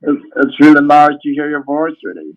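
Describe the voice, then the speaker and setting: A man on a voice call, talking hesitantly with many fillers and soft trailing endings. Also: fairly high pitch, a little fast. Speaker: scammer on a voice call. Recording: tinny phone line.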